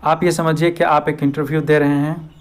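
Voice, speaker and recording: A man's voice: a little high, little pitch movement, normal speed. A man with an Indian accent giving informal, step-by-step instructions as a video host.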